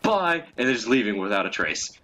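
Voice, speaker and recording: A man's voice: mid-range voice, sing-song, fairly quick, bright, forceful tone. A young man telling casual stories in a profane, crudely teasing way, as a co-host chatting with a friend. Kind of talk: casual storytelling chat over a game.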